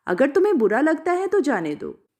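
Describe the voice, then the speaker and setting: A woman's voice: sits high, huge pitch swings, normal speed, darkish tone. A woman voicing an earnest, lovestruck young village man in a story, meek yet defiant and pleading.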